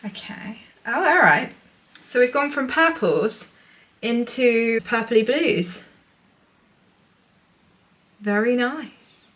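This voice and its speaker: A woman's voice: a little high, animated, slow pace, pauses often, fairly bright tone. A woman in her thirties, chatting casually and a little ramblingly as a knitting vlogger.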